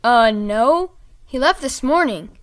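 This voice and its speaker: A woman's voice: sits high, animated, slow pace. A woman voicing an innocent, earnest young boy fox in an amateur cartoon-based radio drama. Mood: worried. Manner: earnest, youthful, simple phrasing.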